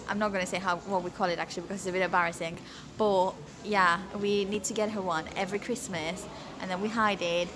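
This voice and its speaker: Chatty young British woman vlogging. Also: mid-range voice. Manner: chatty and giggly, talks straight to viewers. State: tired after work. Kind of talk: casual vlog chat. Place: large furniture store, indoors.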